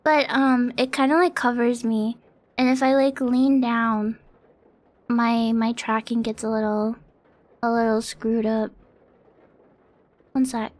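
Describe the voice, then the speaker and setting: Young woman streamer, high voice, slow and rambling, self-deprecating with casual swearing, close desk mic at home. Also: level tone, darkish tone. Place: home apartment streaming setup. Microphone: close desk mic on an arm.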